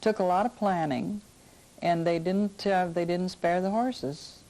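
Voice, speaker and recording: A woman's voice: on the low side, unhurried, warm tone, low-quality recording. An older woman, casually recalling the past as an interviewee. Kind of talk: casual oral-history reminiscence.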